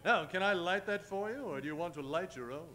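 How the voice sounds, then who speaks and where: A man's voice: high voice, brisk, sharp tone. A man playing a jovial, patronizing doctor in a parody old-time radio commercial, folksy and reassuring.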